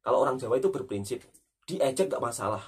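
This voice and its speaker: Very husky man. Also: medium pitch, expressive, fast talker, distant mic. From Indonesia. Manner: emphatic, repeats points, frequent tag questions. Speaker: host of a reaction talk. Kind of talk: conversational commentary.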